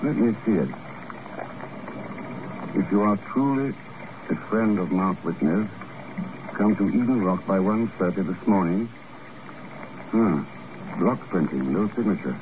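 An actor playing a composed, suave secret agent with a dry, understated manner in a 1950s radio drama. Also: male voice, deep voice, animated, a little slow, pauses often, darkish tone. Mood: urgent. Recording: muffled, noisy old radio recording.

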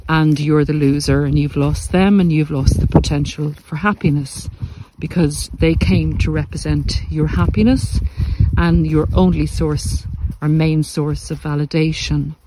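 A man giving a reflective, earnest monologue to listeners, speaking deliberately in short phrases with pauses. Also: fairly high pitch, steady tone, noisy background. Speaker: podcast host and narcissistic abuse coach. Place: outdoors in the countryside, walking. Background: country sounds.

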